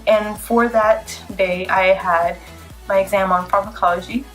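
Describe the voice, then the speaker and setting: A woman's voice: medium pitch, fairly even intonation, unhurried, faint music behind, low-quality recording. A woman in her twenties, congested from a cold, chatting casually and a bit tiredly to camera as a vlogger. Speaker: vlogger, PA student. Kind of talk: casual vlog talk to camera.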